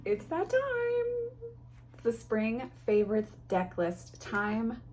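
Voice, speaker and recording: A woman's voice: a little high, wildly swooping pitch, takes it slowly, darkish tone, a little hiss or hum, low-quality recording. A woman chatting casually and effusively to her viewers as a tarot vlogger, a little sniffly from allergies. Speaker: tarot content creator. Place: home.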